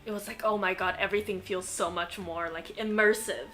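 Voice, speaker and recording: A woman chatting casually and enthusiastically as she plays a video game, excitable and giggly in manner. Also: medium pitch, a little fast, faint music behind. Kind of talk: casual gameplay commentary, chatting.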